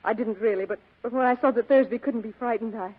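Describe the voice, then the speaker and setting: An actress playing a scheming, pleading femme fatale in a melodramatic, imploring 1940s radio drama style. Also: female voice, fairly high pitch, fast talker, slightly rough. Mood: distraught. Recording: narrow-band old radio recording.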